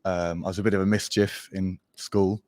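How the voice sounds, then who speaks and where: A man's voice: sits low, moderate pace, dry recording, studio quality. A young man with an English accent, raised abroad, talking casually and loosely as a podcast guest with lots of fillers. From born in Portugal, raised in Spain. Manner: relaxed, rambling, many fillers. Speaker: guest, agency founder.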